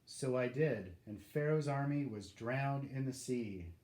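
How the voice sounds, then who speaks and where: A man's voice: medium pitch, lively intonation, a little slow, darkish tone. A man reading scripture aloud with his family in a church service, speaking earnestly in the first person as Moses. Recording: echoey church with faint background noise.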